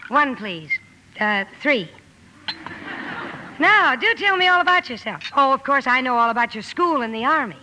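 Exuberant teen tomboy: forceful, high, brisk, blunt, vintage radio drama with light hiss. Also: female voice, animated, in a large hall, low-quality recording. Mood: exuberant, friendly. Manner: brisk, gushing exclamations. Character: exuberant, blunt tomboyish teenage girl, warm-hearted elder sister. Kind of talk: 1940s radio drama acting. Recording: hissy old 1950 radio broadcast.

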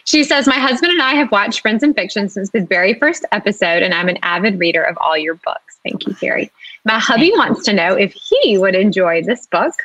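A woman's voice: mid-range voice, lively intonation, a little fast, fairly bright tone, dry recording, poor audio. A woman co-hosting a casual live book event and passing on questions from the audience. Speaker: co-host reading audience questions.

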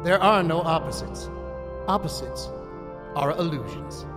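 An actor playing a solemn cult archpriest in an audio drama, delivering a mystical eulogy in a grandiose, incantatory manner. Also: male voice, on the high side, expressive, measured pace. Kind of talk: ceremonial audio drama oration. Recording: long hall reverb, music underneath. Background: music.

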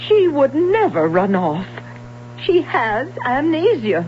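Anxious, high-pitched, defensive mother. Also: very animated, full character voice. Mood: insistent. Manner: insistent, emotional, quick to contradict. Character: anxious, defensive middle-aged mother of a missing daughter. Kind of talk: 1970s radio drama acting. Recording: dull, noisy vintage radio broadcast.

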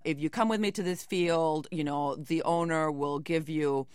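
Low-voiced woman explaining in an interview. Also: moderate pace, a little mellow, smooth, dry recording, studio quality. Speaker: investigative reporter being interviewed.

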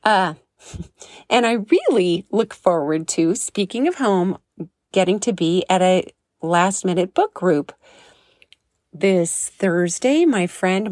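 A woman's voice: on the low side, a little mellow, no room sound, studio quality. A middle-aged woman hosting a podcast from home, chatting effusively and restarting her sentences often. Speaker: podcast host. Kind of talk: casual chat and interviewing.

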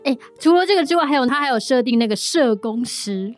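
Female podcast host, Taiwanese Mandarin accent. Fairly high voice, inquisitive, conversational delivery over faint music. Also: expressive, a little fast. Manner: inquisitive, responsive. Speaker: podcast host, audio drama producer. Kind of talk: casual conversational interview.

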